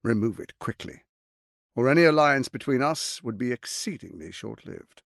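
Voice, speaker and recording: A man's voice: mid-range voice, expressive, moderate pace, creaky, fairly bright tone, no room sound, clean studio sound. A man voicing a suave, scheming, arrogant archduke in a fantasy video game, silky, grandiose and condescending in his theatrical delivery. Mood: smooth, commanding.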